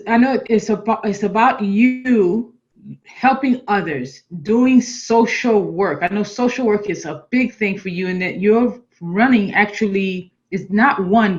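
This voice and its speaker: A woman's voice: a little high, takes it slowly. Middle-aged lady hosting over a video call, friendly, trips over her words, muffled audio. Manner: warm and encouraging, with stumbling restarts. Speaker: host. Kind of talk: casual interview hosting.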